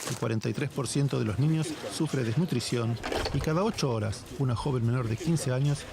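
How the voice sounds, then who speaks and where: A man's voice: mid-range voice, a little fast, vocal fry. A man presenting and interviewing in a broadcast news reporting style. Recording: loud background noise.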